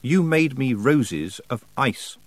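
A man's voice: mid-range voice, lively intonation, takes it slowly, no room sound, pitched above the performer's own voice. A man voicing a clever, level-headed young witch girl who speaks carefully.

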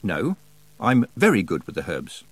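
A man's voice: on the low side, wildly swooping pitch, measured pace, husky, no room sound, full character voice. A man voicing an earnest, proud young trainee witch girl in an audiobook, with a direct manner. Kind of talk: audiobook character dialogue.

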